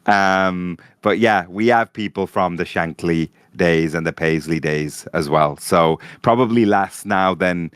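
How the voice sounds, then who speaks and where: Podcast guest, a man with a low, smooth voice, relaxed and chatty; dry sound. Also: measured pace, hardly any pauses, no echo. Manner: relaxed, peppers speech with "like". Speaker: podcast guest, fan club member. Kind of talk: casual podcast discussion.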